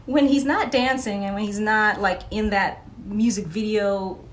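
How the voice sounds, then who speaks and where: Laughing, animated woman telling stories. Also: medium pitch, unhurried, slight background noise. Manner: animated, laughs often, many fillers. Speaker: podcast guest, memoir author. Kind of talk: casual interview storytelling.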